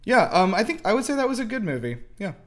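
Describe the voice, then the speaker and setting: A man's voice: sits high, sing-song, fast. A man chatting casually as a host reviewing films, in a rambling, hedging way that often restarts. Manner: rambling, hedging, many self-interruptions. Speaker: movie reaction host. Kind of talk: casual film review chat.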